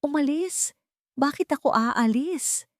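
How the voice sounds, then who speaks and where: A woman voicing a drunk, manipulative ex-boyfriend in an audio romance story, loud, desperate and demanding. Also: high-pitched, animated, takes it slowly, no room sound, clean studio sound, voice pushed up. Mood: drunken bravado. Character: drunk, menacing, manipulative ex-boyfriend, begging then raging.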